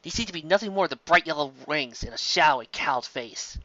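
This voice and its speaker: Storyteller for fantasy fiction: sharp, forceful tone, dry and slightly dull home recording. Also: medium pitch, animated, fairly quick, no echo. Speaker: storyteller reading fan fiction aloud. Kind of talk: dramatic scripted story narration.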